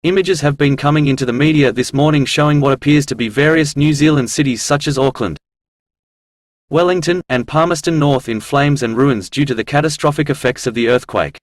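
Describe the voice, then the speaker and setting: A man reads a scripted news report in a formal, measured manner. His pitch is mid-range and the sound is dry, with no room around the voice. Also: dry recording.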